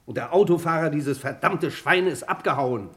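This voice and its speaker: A man's voice: on the high side, moderate pace, a bit bright, close to an everyday voice. A male actor playing an honest, hard-working and modest auto mechanic in a radio crime drama, good-natured and plain-spoken. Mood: indignant.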